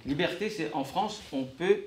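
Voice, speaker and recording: A man teaching a class, asking his students questions in a clear, instructive way. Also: fairly high pitch, animated, moderate pace, darkish tone. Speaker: trainer in class. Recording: echoey classroom with people around.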